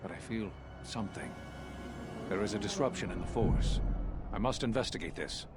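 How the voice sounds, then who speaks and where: An actor playing a wise, calm Jedi master in a video game, measured and cryptic in manner. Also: male voice, fairly low pitch, close to an everyday voice. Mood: grave. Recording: loud music behind the voice.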